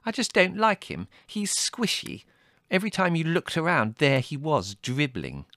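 A man plays a petulant young woman in a storybook, pitched way up high. She wails and protests with indignant emphasis, swooping animatedly through every complaint. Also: big pitch swings, moderate pace, no echo, studio quality. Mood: indignant, disgusted. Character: indignant, whiny young woman, squeamish daughter.

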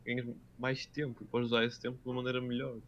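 A dull, lo-fi recording of a young adult man with a European Portuguese accent. He speaks extremely fast at an average male pitch. His delivery is casual podcast chat, informal and full of slang. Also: monotone, a bit bright. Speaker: podcast co-host. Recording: dull, lo-fi sound.

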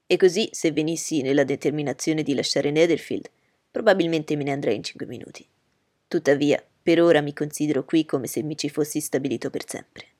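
A woman playing an eager young gentleman. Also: sits low, a little fast, no echo, clean studio sound. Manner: eager, emphatic. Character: cheerful, impulsive young gentleman.

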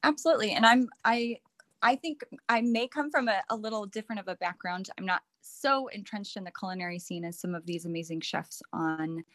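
A woman speaking in a warm-hearted, halting way with many restarts in a casual group chat. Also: mid-range voice, no room sound. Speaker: panel speaker.

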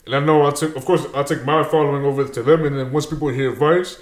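A man's voice: fairly high pitch, steady tone, quick pace, echoey room. A young man with Dominican and Peruvian roots from New Jersey, talking energetically in a casual interview and dropping Spanish words into his slangy speech. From Dominican and Peruvian heritage, New Jersey. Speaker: guest, show creator.

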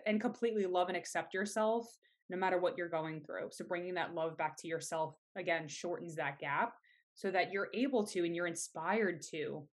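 Soothing woman guest, lowish voice. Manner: earnest, warm encouragement about self-love. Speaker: guest health coach. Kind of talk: casual podcast interview.

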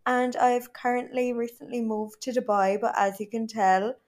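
A woman's voice: a little high, steady tone, moderate pace, a little mellow. A young Irish woman chatting as a podcast guest, gushing and filling her talk with "like". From Ireland. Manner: gushing, lots of "like". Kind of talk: casual chat.

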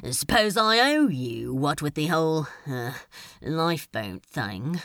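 A woman's voice: deep voice, very animated, slow, a bit bright, no echo, studio quality, voice pushed down, heavily acted. A woman voicing an exasperated, sardonic male demon in an audiobook, drawling and grumbling with grudging impatience. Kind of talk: audiobook character voice.

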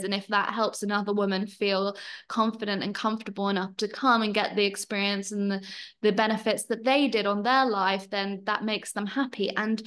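A woman's voice: medium pitch, fairly bright tone. A woman hosting a podcast about her retreat, chatty, effusive and grateful as she welcomes her guests. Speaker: podcast host and retreat leader.

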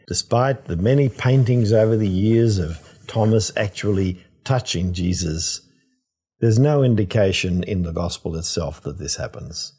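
An older man, a priest, giving a reflective scripture teaching in a measured, explanatory way with emphatic phrasing. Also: on the low side, mellow, slightly rough.

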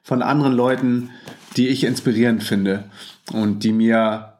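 A man's voice: mid-range voice, fairly even intonation, a little slow, darkish tone. Man with a cold, chatty host. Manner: enthusiastic, slangy, frequent filler sounds. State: has a cold. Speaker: podcast host. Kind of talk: casual podcast monologue, reading out listener comments.

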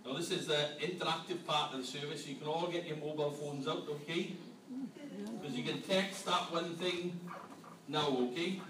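A man's voice: on the high side, steady tone, moderate pace, recorded from a distance. Man preaching in an echoey church hall. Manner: playful, quizzing the congregation with questions. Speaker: church leader leading a family service. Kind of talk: interactive family sermon. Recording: echoey hall, mic from afar, room noise.

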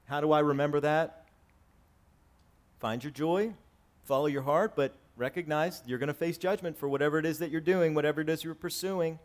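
A man in his forties preaching a sermon to his congregation, swinging between raised, emphatic lines and quiet, conversational asides. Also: fairly high pitch, fairly quick. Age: 40s. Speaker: pastor. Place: church sanctuary with congregation.

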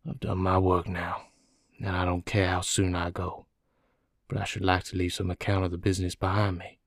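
A man voicing a calm, weary, doomed prisoner who confesses slowly and methodically in an audiobook. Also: sits low, vocal fry, dry recording, clean studio sound. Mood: placid, resigned. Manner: slow, placid, methodical. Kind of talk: audiobook character dialogue.

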